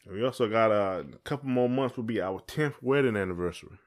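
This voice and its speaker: A man's voice: lowish voice, quick pace. A man chatting casually and colloquially as a podcast co-host. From southern United States. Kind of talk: casual chat with spouse.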